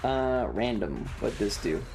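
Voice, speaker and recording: A streamer with a deadpan, sarcastic manner, giving casual gaming commentary and swearing, close to the microphone. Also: medium pitch, measured pace, creaky. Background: loud game music.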